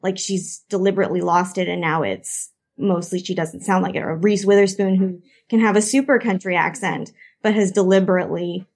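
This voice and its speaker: Gravelly Canadian woman hosting a podcast. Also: lowish voice, steady tone, brisk, darkish tone. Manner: chatty, runs into long tangents. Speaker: podcast host. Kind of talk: casual podcast discussion.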